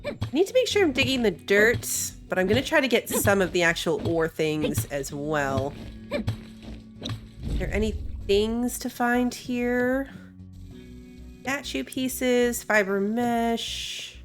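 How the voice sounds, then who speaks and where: A woman gives casual gameplay commentary in a mid-pitched, very expressive voice. She is chatty and thinks aloud, with faint music in the background. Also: slow. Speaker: gaming video host. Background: faint game music.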